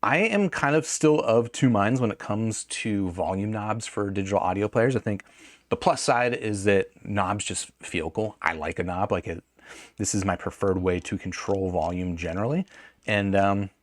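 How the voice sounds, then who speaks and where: A man with a fairly low voice talks through a product review in a casual, unscripted way. He thinks aloud and rephrases as he goes, conversational throughout. The sound is dry, with little room around the voice. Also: moderate pace, no room sound.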